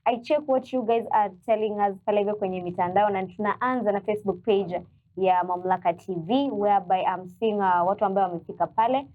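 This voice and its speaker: A woman's voice: mid-range voice, a little fast. Kenyan woman host, tinny phone-like sound. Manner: steady listener, frequent short "mm-hmm" cues. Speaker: TV morning show host. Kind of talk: casual interview hosting, mixing English and Swahili.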